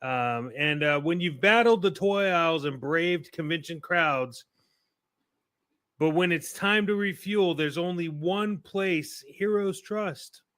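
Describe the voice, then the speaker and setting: Higher-pitched American man co-hosting. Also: slow, fairly bright tone. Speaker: podcast co-host. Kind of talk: casual chat and scripted sponsor reads.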